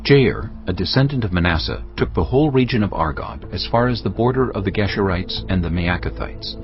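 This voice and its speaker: Very deep-voiced male narrator. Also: animated, a little fast, dull audio, soft background music. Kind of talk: scripted scripture narration.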